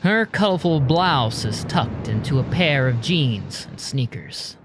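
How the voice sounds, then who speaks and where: A man narrating a scripted audio drama in a measured, descriptive manner. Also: mid-range voice, expressive, noisy background. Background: music.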